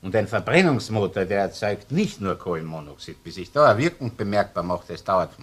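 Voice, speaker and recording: An actor playing a sceptical, probing police investigator in a crime radio play, speaking colloquial Viennese German with an insistent, questioning manner. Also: male voice, lowish voice, sing-song, fairly quick, husky. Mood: doubtful, insistent. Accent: Viennese Austrian. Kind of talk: German-language crime radio drama acting. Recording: noisy old radio play recording.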